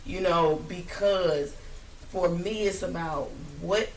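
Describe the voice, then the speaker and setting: A woman's voice: fairly low pitch, takes it slowly, soft background music. A middle-aged woman school counselor talking expressively as a guest in a small studio. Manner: lively, expressive. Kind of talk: casual talk show chat. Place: small studio lounge, indoors. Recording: roomy, a bit distant.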